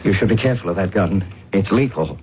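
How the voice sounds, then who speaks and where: An actor playing a cold, emotionless humanlike alien in a radio drama, speaking in a formal, deliberate, detached way. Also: male voice, deep voice, lively intonation. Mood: restrained. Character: cold, emotionless humanlike alien man bent on invading Earth. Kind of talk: radio drama acting with first-person narration. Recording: muffled radio drama recording, loud music behind.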